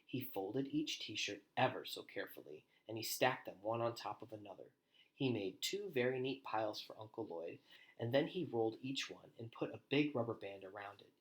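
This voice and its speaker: A man's voice: on the low side, expressive, normal speed, rough recording. Man reading a children's story aloud expressively. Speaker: storybook reader. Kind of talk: expressive children's read-aloud with quieter asides.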